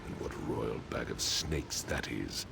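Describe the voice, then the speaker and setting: Very deep, gravelly, scornful orc chief. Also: male voice, a little slow, heavily acted. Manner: scornful, blunt. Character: gruff, proud orc chief who distrusts outsiders. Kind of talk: video game character dialogue. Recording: game audio with loud music bed.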